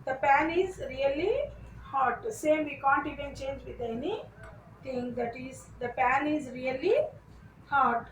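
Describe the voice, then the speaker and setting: Woman teacher, high voice, slow deliberate phrasing, faint background noise. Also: distant mic. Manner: slow, repeats each sentence phrase by phrase. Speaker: English teacher. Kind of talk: grammar lesson, reading example sentences aloud. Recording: echoey room, voice a bit off-mic, faint noise.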